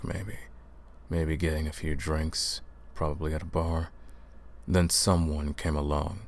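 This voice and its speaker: A man playing a centuries-old vampire hunter in a roleplay audio drama, stern and commanding, speaking deliberately and questioning the listener. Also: very deep voice, heavily acted.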